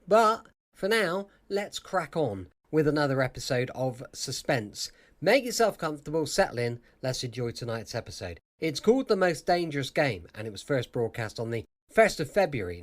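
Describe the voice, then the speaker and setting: A man's voice: mid-range voice, very animated, normal speed. A man giving a casual welcome as a podcast host.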